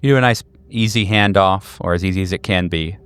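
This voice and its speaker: A man narrating a tabletop horror game as game master, in a measured, descriptive storytelling manner. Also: low voice, fairly bright tone, clear, resonant tone.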